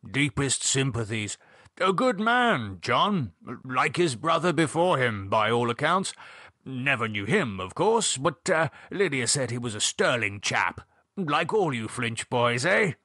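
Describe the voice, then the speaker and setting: A man's voice: mid-range voice, sing-song, a little slow, sharp tone, slightly rough, no echo, clean studio sound, heavily acted. A man voicing a hearty older upper-class English society gent in an audiobook, with clipped, jovial phrasing. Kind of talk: audiobook character voice.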